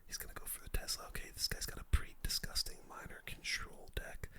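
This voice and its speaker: A person whispering casual, slangy play-by-play as an ASMR gaming host, in a breathy unvoiced whisper close to the microphone. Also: fast, fairly bright tone. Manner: casual slangy play-by-play, muttering through moves.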